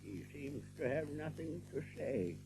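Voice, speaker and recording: Creaky, grave old rabbit councillor. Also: male voice, fairly high pitch, expressive, unhurried. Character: male rabbit councillor, grave elderly warren elder. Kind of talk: dramatised audiobook acting. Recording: noisy recording with hum.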